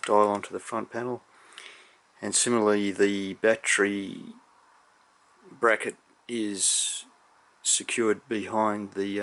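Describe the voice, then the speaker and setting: A man giving an unscripted technical demonstration, explaining in a measured, somewhat hesitant way. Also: fairly low pitch, fairly bright tone. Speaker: electronics hobbyist presenter.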